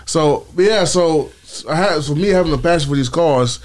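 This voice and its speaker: A man's voice: a little high, moderate pace. A young man from the Bahamas, a guest chatting casually in a podcast studio on a studio mic, laid-back and often saying "you know what I mean". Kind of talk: casual chat. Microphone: studio mic with headphones.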